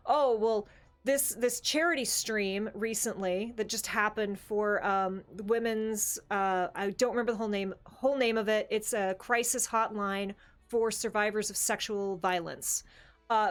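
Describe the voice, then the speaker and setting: An American woman speaking emphatically and casually, sharing opinions as a podcast co-host. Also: fairly high pitch. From Bible Belt, United States.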